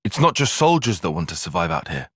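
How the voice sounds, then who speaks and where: Casting: young military strategist for a game, earnest and formal, husky edge, wide expressive pitch, dry studio sound. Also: male voice, no room sound, heavily acted. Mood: analytical, composed. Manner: precise, formal, measured phrasing. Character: young male soldier tactician, analytical, formal, earnest. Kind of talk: video game cutscene voice acting.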